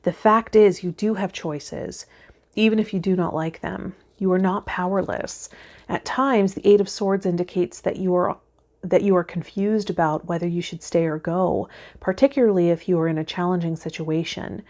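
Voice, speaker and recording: A woman's voice: fairly low pitch, fairly even intonation, moderate pace, a little mellow. A woman hosting a spiritual vlog, giving tarot guidance and speaking directly to her viewers. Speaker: tarot vlog host and healer. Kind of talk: spiritual guidance, part read aloud, part casual talk to viewers.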